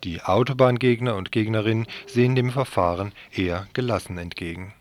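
A man's voice: on the low side, fairly quick, noisy background. A man reading a scripted news report for radio.

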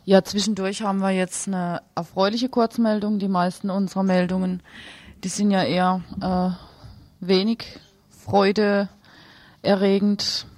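A woman's voice: medium pitch, little pitch movement, unhurried, darkish tone, no echo. A woman presenting radio news, reading reports with brief informal introductions.

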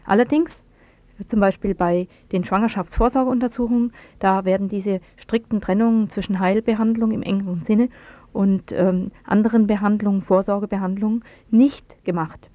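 A woman's voice: mid-range voice, fairly even intonation, normal speed, mellow, no echo. A woman presenting on the radio, reading a scripted news report.

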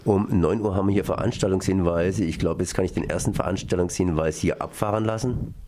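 A man hosting a radio interview, relaxed and joking. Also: low-pitched, quick pace, background noise. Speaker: radio host.

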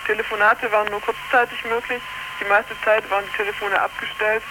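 A woman's voice: medium pitch, level tone, a little fast, very noisy. A woman reading out a prepared statement as an activist over a poor long-distance telephone line, stumbling over words here and there. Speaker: activist delegation member.

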